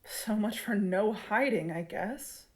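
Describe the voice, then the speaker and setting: A woman's voice: mid-range voice, slow pace, a little mellow, slightly rough. A woman playing a guarded, distrustful investigator in an audio drama, with a terse, serious, naturalistic delivery. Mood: defiant.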